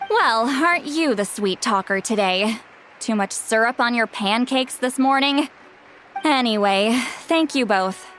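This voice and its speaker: A dashing, self-assured granny, fairly high in pitch and wryly playful. It is animated game acting, kindly and teasing, with some music underneath. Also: female voice, a little slow.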